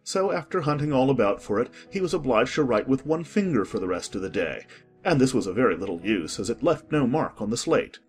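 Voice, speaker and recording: A man narrating a dramatized audiobook with expressive storytelling. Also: medium pitch, brisk, slightly rough, faint music behind.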